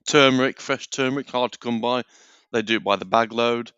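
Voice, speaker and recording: A British man with a mid-pitched voice and a mild sore throat, chatting casually. He gives his opinions earnestly and haltingly, on a dry, slightly muffled lo-fi recording. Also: a bit bright, smooth, dry recording. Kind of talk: casual chat.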